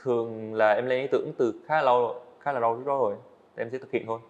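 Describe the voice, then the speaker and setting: Fast-talking young man, earnest. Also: mid-range voice, steady tone, lo-fi sound. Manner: earnest, explains step by step. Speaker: guest animator. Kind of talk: casual interview answers. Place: indoor studio set.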